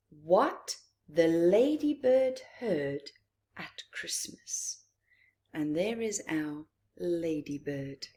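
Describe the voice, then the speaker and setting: A woman reading a picture book aloud to children, deliberate and expressive, with long pauses and small asides. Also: on the low side. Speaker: children's storytime reader.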